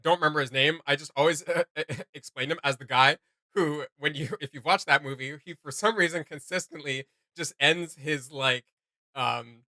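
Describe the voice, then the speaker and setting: A man's voice: fairly high pitch, moderate pace, sharp tone, no room sound. A man hosting a reaction video, giving casual, enthusiastic and rambling commentary.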